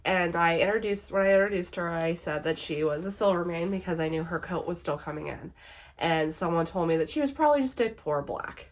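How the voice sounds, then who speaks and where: A young woman chatting casually to camera about her pets, a little hoarse from being sick, laughing often and filling with "uh" and "like". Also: on the low side, a little fast. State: slightly hoarse from illness. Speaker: pet vlogger.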